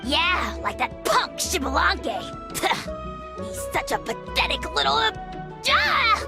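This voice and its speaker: A woman's voice: very high-pitched, wildly swooping pitch, slow, music in the background, heavily acted. A woman voicing a tiny, boastful little male dragon lord with a blustering, theatrical manner. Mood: scornful. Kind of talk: video game voice acting. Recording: game score playing behind.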